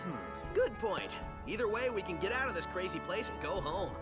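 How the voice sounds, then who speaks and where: An actor voicing a cocky, laid-back young hedgehog hero, breezy and wisecracking, in a game cutscene. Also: male voice, high voice, lively intonation, brisk. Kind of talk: animated video game cutscene acting. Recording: loud music under the voice.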